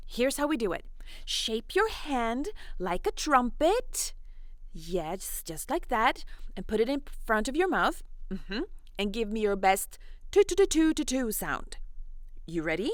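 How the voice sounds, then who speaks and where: Animated woman storyteller for children, high voice. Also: no echo, clean studio sound. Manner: encouraging, animated, chatty asides to young listeners. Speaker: storyteller, host of interactive children's fairy tales. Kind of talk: playful interactive storytelling for children.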